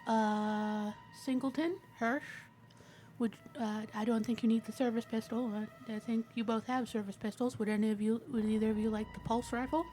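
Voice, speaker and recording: A woman's voice: a little high, steady tone, moderate pace, dark tone. A woman player in a tabletop roleplaying game, talking casually and in character with a sweary, theatrical way of putting things. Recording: background music.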